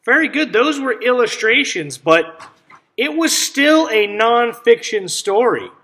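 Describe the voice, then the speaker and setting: A man reading a picture book aloud to children as a teacher, pausing to ask simple questions and explain things. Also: very high voice, big pitch swings, sharp tone. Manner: instructive, checks understanding with simple questions.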